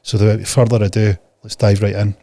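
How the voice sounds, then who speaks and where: Guy hosting an interview podcast, fairly deep voice, dry sound, conversational. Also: flat delivery, fairly quick, warm tone, no echo. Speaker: podcast host.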